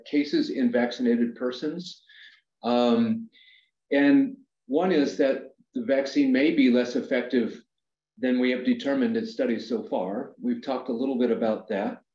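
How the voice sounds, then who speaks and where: A man on a video call giving a measured public health briefing, pausing often with fillers. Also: mid-range voice, dark tone. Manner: measured, hesitant with many fillers. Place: office. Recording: roomy, dull video-call audio.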